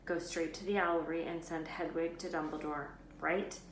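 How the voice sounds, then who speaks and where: A woman's voice: sits low, fairly even intonation, moderate pace. Brave schoolboy, read by a woman, earnest, direct, storybook hero, echoey room, faint noise. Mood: resolute. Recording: roomy echo, background noise.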